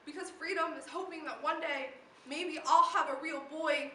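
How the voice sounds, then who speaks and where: A woman's voice: high voice, steady tone, normal speed, sharp tone. A teenage young woman performing slam poetry in a dramatic, emphatic recitation that builds through long lists. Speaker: slam poet. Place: live poetry event, recorded from a distance. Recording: distant echoey room recording with noise.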